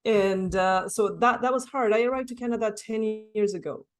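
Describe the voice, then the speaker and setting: A woman's voice: on the high side, moderate pace. A woman from Mexico with a Mexican Spanish accent, speaking English as a second language, earnestly telling her story as a podcast guest and often adding "right?". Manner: earnest, reflective, frequent "right?" tags. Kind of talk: conversational interview.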